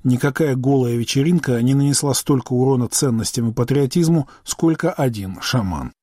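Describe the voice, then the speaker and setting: A man's voice: medium pitch, normal speed, dark tone, no room sound, clean studio sound. A man reading a scathing post aloud, listing accusations.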